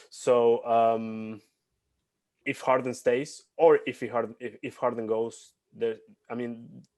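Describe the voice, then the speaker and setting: A man's voice: mid-range voice, a little mellow, no room sound. A young man from Argentina co-hosting a basketball podcast over a video call, talking animatedly and repeating points for emphasis. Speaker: podcast co-host. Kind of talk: casual basketball chat. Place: home room, video call. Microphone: headset.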